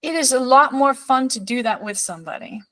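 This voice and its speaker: A woman's voice: high-pitched, animated, brisk, sharp tone, dry recording. A woman from Denmark hosting a podcast interview, talking in a rambling way with many restarts and appreciative remarks. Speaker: podcast host.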